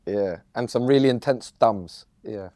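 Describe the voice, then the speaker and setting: A man talking with a close friend in an animated, laughing, slangy way. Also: mid-range voice, measured pace, no echo. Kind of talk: candid conversation between friends.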